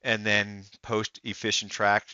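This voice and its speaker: A man narrating a software tutorial step by step as the presenter, recorded as a close, dry voice-over with a slightly dull sound. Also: on the low side, level tone, unhurried, clear, resonant tone, dry recording. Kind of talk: narrated software tutorial, walking through steps.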